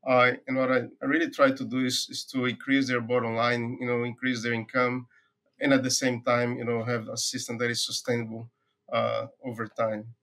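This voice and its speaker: A middle-aged man from Brazil with a Brazilian accent, a university agronomy professor answering interview questions in a relaxed, thoughtful way, pausing often with "uh" and repeating words as he finds them. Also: medium pitch. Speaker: forage agronomy professor, guest.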